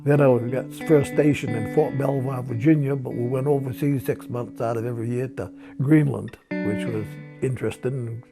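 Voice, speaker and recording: Gravelly older New man over guitar. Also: mid-range voice, steady tone, normal speed, hardly any pauses. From Maine, USA. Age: 70s. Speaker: grandparent being interviewed. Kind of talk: personal reminiscence. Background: acoustic guitar music.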